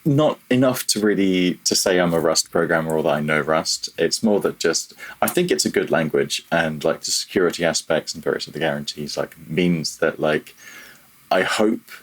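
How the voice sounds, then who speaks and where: A man's voice: rumbling low, moderate pace. A man talking casually as a technical interview guest, feeling his way through his thoughts and rephrasing as he goes. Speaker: podcast guest, audio programmer.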